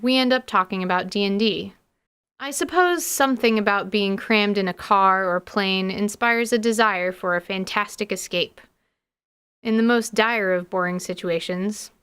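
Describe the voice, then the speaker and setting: Female podcast host and game master, crisp medium-pitched voice, articulate scripted narration with a dry wit. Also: fairly bright tone.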